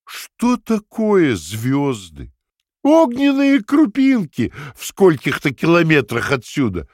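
An actor playing a cruel, domineering party interrogator who lectures theatrically, swinging from hushed to emphatic, in an audio drama. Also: male voice, a little high, huge pitch swings, slow pace, no echo, studio quality, heavily acted. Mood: scornful, emphatic. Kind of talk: dramatic audio play dialogue.

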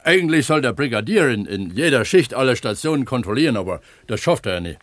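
An actor playing an eager, earnest pump attendant at an opencast mine in a naturalistic radio drama, explaining things like a tour guide. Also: male voice, medium pitch, very animated, fast, a bit bright, no room sound. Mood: explanatory.

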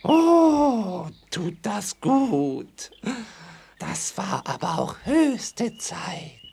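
Creaky, fussy little animal character. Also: mid-range voice, a little hiss or hum, exaggerated voice. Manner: animated, drawn-out sighs and exclamations. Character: small short-legged grumbling animal friend, fussy and dramatic. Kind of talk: children's audio play acting.

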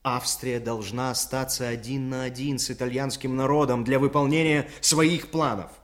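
Actor as a scheming, insistent statesman, mid-pitched. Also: steady tone, moderate pace, close to an everyday voice. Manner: insistent, emphatic. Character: scheming Austrian statesman, commanding and calculating. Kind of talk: Soviet radio drama acting.

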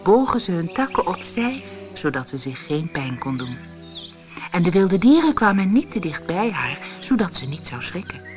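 A woman narrating a scripted fairy tale audiobook for children in an expressive, deliberate way. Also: medium pitch. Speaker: storyteller.

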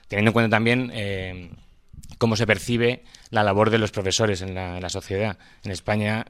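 A man with a Madrid accent and a smooth, fairly low voice, recorded dry. He speaks off the cuff as a teacher at a public debate, hesitating as he looks for words and tacking little questions onto his phrases. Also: no echo. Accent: Madrid Spanish. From Madrid, Spain. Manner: hesitant, searching for words, frequent tag questions. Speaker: schoolteacher speaking from the audience. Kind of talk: impromptu speech at a public debate.

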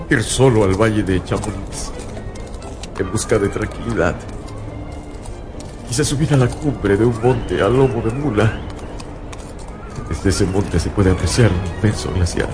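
A man's voice: medium pitch, a little mellow, lightly acted. An actor playing a haunted, grieving scientist who narrates his tragedy in the first person in a radio drama. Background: music.

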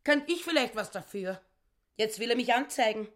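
Woman acting screechy older wife in a radio play, high pitched, whiny, insists she's respectable. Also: expressive, moderate pace, lightly acted. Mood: indignant, tearful. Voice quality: shrill, screechy.

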